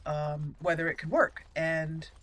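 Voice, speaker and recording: Bright-voiced chatty woman gamer. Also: deep voice, level tone, measured pace. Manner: chatty, reactive, thinks aloud. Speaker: gaming channel host. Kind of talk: casual let's play commentary.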